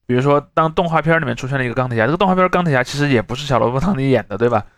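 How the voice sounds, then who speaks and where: A man's voice: mid-range voice, animated, rapid-fire, a bit bright, dry recording. A man hosting a podcast, chatting casually and explaining things through examples. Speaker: podcast host.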